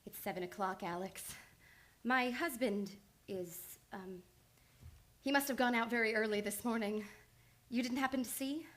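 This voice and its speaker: A woman's voice: fairly high pitch, normal speed, fairly bright tone, natural voice. A woman playing an earnest, devoted analyst in a radio drama, insistent and pleading in urgent, emphatic phrases. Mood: concerned. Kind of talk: old-time radio drama acting.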